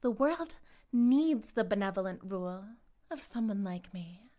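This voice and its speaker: A woman's voice: medium pitch, expressive, a little slow, mellow, natural voice. A woman playing a charismatic, smugly menacing cult leader in a dramatic audio roleplay, speaking in a pompous, articulate and condescendingly persuasive way. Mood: smug condescension.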